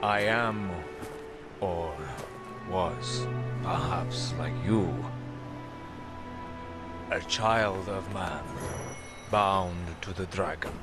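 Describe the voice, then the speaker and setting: Grave old fantasy game mystic, deep voice, slow and halting, big music behind. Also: male voice. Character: solemn, weary ancient man bound to a dragon. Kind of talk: dramatic fantasy video game acting.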